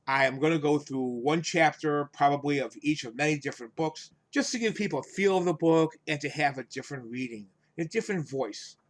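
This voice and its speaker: A man giving an English vocabulary lesson, explaining words in a deliberate, instructive way with repeated phrases and simple examples. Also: on the high side. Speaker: English teacher, host of the lesson.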